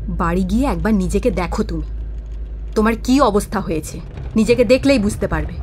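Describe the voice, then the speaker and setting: Actress as stern, scornful ex-wife. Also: female voice, medium pitch, a little fast, a little mellow, close to an everyday voice. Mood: scornful, reproachful. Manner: cutting, commanding, reproachful. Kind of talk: audio drama acting. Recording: vehicle noise behind the voice. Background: car ride ambience.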